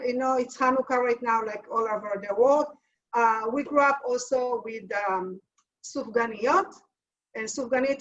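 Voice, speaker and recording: A woman's voice: on the high side, fairly bright tone. Israeli woman teaching in a distant kitchen. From Israel, family from Tunisia. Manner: halting, searching for words, practical tips. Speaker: cooking instructor. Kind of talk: live cooking demonstration. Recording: distant, dull lo-fi mic.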